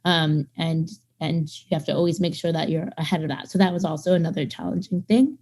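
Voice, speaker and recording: A woman's voice: low-pitched, steady tone, a little fast, a little mellow. A woman in her thirties, a startup founder, talking casually in an interview, with many fillers and quoted asides. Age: 30s. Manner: chatty, lots of fillers, acts out quoted people.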